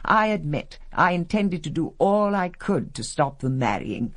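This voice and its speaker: A woman's voice: on the low side, very animated, measured pace, no room sound. An actress with a British accent playing a haughty, coolly disapproving middle-aged mother in a radio drama, imperious and dry. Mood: cool disdain. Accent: British English. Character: haughty upper-class English matriarch, coolly disapproving.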